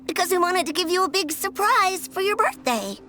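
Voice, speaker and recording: A woman voicing a sweet, earnest small child in an animated video game dub. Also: very high voice, fairly quick, soft background music, full character voice. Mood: apologetic.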